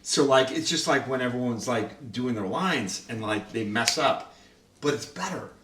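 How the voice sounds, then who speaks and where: A man's voice: mid-range voice, animated, moderate pace, fairly bright tone. A man who co-produced and designed the sound, chatting casually and rambling with lots of "like"s. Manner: rambling, full of "like"s. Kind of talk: casual behind-the-scenes chat. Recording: roomy echo, distant mic.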